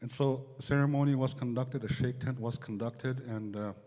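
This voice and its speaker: Man with a mellow voice in an echoey hall. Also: medium pitch, fairly even intonation, moderate pace. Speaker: assembly speaker giving testimony. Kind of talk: unscripted public address. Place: large assembly hall. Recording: echoey large hall over PA.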